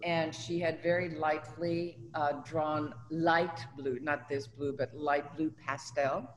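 A woman's voice: low voice, little pitch movement, slow. An older woman from Tunisia speaks in a conversational, reflective interview style. Her voice rings in a reverberant studio room, with faint noise behind it. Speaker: artist being interviewed. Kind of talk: conversational personal recollection. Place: indoors in an art studio.

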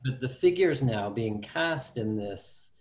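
A male interviewer with a mid-range voice speaks conversationally. He feels his way through each question, pausing and rephrasing as he goes. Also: mid-range voice, lively intonation. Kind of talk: conversational oral history interview.

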